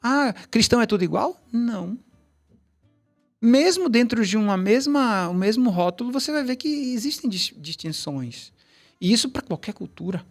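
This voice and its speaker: A man's voice: very high-pitched, lively intonation, normal speed, faint music behind. A middle-aged man, a tai chi teacher, telling long rambling stories in a casual interview, with many restarts and asides. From Brazil, Bahia.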